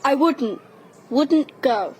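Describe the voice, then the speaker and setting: A woman playing a guarded, sharp-tongued wartime schoolgirl in an audio drama, giving brisk, dry retorts. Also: high voice, animated, slight background noise, heavily acted. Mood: wary, impatient. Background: birdsong.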